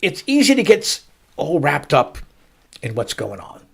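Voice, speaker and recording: A middle-aged man hosting a baseball show, telling a story to listeners with emphatic, dramatic pauses and stretched-out words. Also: fairly high pitch, wildly swooping pitch, vocal fry, husky. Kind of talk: storytelling sports commentary to listeners.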